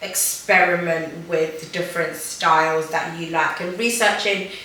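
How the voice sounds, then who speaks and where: A woman's voice: lowish voice, fairly even intonation, measured pace, sharp tone. A young woman hosting a casual chat, talking loosely with lots of fillers and slang. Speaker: host. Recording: echoey room, voice far from mic.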